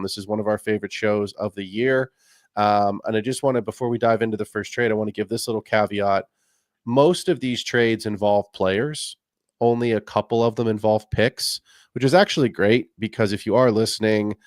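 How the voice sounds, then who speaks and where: A man's voice: lowish voice, no room sound, studio quality. A man hosting a fantasy football podcast, talking in a chatty, rambling and friendly way. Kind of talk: casual podcast talk.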